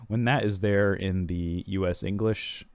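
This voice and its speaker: A man with a low, dark voice talks through a software tutorial over a screen recording. He explains each step at an easy pace, pausing with ums and restarting sentences. The sound is dry and close to the mic. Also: fairly even intonation, creaky, no echo. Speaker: software product walkthrough presenter.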